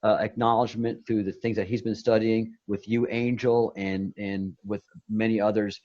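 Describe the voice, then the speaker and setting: Rambling low-voiced man on a call. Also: level tone, moderate pace, darkish tone, dull audio. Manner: rambling, many restarts and repeated words. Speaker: guest on a meditation talk. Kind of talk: casual spiritual chat.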